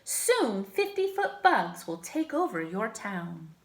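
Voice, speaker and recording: A woman's voice: medium pitch, very animated, takes it slowly. A woman voicing a bold, earnest boy superhero from a rhyming picture book, proclaiming urgently. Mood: rallying.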